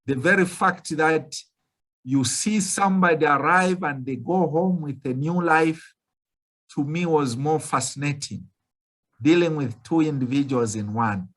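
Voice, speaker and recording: Man, 50s, from Zambia, slow, measured, earnest, somewhat high voice, video call. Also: fairly bright tone. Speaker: obstetrician panelist. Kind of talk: online panel talk.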